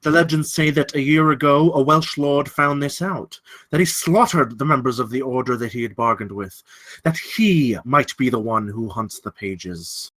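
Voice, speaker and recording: Man acting a menacing, eloquent gentleman villain. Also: medium pitch, natural voice. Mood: sly storytelling. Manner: theatrical, deliberate, ornate courtly phrasing. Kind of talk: dramatic table read of a film script.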